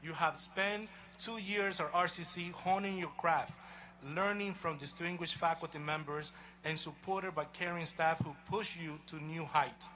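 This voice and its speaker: A man from Honduras with a Honduran Spanish accent, a college president giving a measured, emphatic commencement speech at an outdoor stadium. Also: a little high, steady tone, bright, forceful tone, soft background music, rough recording. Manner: measured, emphatic address to a crowd.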